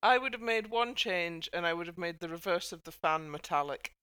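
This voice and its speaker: A woman's voice: fairly low pitch, animated, a little fast, bright, forceful tone, smooth, no room sound. A woman hosting a casual panel chat in a chatty, wry manner. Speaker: podcast host.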